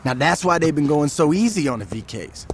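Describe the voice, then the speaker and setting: A man's voice: a little high, expressive, a little fast, a little hiss or hum, natural voice. An actor playing a calculating, level-headed male gang strategist who lays out plans firmly and with exasperation. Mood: serious. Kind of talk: video game cutscene acting.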